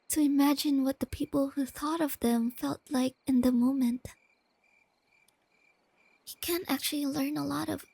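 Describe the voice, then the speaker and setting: Woman doing a shy nerdy girlfriend asmr, real high soft breathy voice, slow n hesitant, crickets at night. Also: dry recording, full character voice. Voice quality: soft, breathy near-whisper. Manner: hesitant, gently stammering. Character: shy, nerdy, tender young girlfriend on a date. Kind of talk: soft-spoken ASMR roleplay. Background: crickets and night insects.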